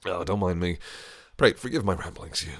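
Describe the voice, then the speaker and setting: A man's voice: low-pitched, moderate pace, a bit bright. A man voicing a possessive, cunning fae prince in an audio roleplay, speaking in a silky, teasing, menacing way. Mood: charming. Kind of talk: listener-directed audio roleplay.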